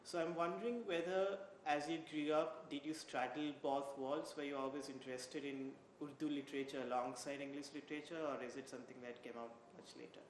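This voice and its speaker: A man hosting an interview series, introducing his guest and asking questions in an eager, slightly halting way. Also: steady tone. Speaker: interviewer, host. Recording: very echoey room with faint rumble.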